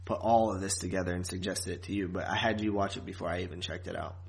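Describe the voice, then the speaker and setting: A man's voice: low-pitched, steady tone, quick pace, gravelly. A man co-hosting a podcast in a casual, informal review chat, with a joking, teasing manner. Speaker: podcast co-host.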